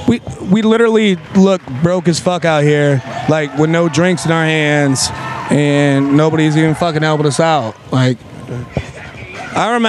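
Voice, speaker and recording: A man doing a rowdy street interview, brash and teasing, repeating himself and swearing casually. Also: sits high. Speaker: street interviewer, podcast co-host. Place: outdoors on a busy nightlife street. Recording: loud street, crowd chatter, music, traffic.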